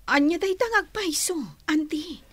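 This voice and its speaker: A high-pitched young niece, inquisitive and incredulous. Her questions come in radio drama style on a dry recording. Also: measured pace, a bit bright, no echo. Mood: puzzled. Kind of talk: Ilocano radio drama acting.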